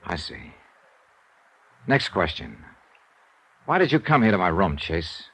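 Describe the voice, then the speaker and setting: A man's voice: sits low, very animated, measured pace, a bit bright. A man starring in and narrating a 1950s radio crime drama, wry, tough and world-weary. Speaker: lead actor and narrator. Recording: vintage radio transcription.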